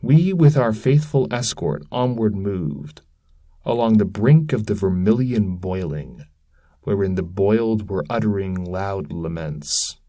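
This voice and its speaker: A man reading narrative verse aloud in a measured, solemn manner, as a scripted dramatic recitation. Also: sits low, lively intonation, warm tone. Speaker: reader.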